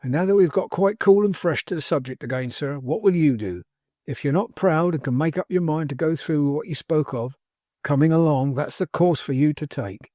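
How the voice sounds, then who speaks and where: A man's voice: fairly high pitch, fairly quick, mellow, no room sound, lightly acted. Storybook role read by a man: plain-spoken working-class servant lad, loyal and fervent, punchy emphasis, dry studio sound. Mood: earnest urging. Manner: emphatic, colloquial, ungrammatical, insistent. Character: cheery working-class young manservant, loyal, bold, scornful orator. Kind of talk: dramatised audiobook character dialogue.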